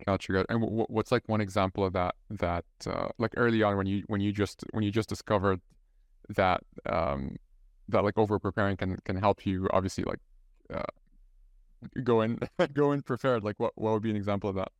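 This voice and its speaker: Young man, halting host. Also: lowish voice, fairly even intonation, dry recording. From Egypt. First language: Arabic. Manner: halting, many restarts and "like" fillers. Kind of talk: casual interview chat.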